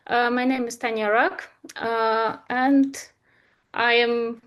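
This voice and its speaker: A woman's voice: on the high side, little pitch movement, a bit bright, clear, resonant tone. Ukrainian-accented woman giving a talk. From Eastern Ukraine. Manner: earnest, with frequent uh and um pauses. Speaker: speaker presenting a talk. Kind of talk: presentation to an online audience.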